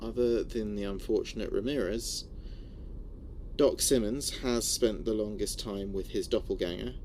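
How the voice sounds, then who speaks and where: A man's voice: fairly low pitch, moderate pace, darkish tone, a little hiss or hum, lightly acted. A man playing an anxious, brooding spaceship communications officer reading his log in a scripted sci-fi horror audio drama. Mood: uneasy, reflective. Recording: music under voice.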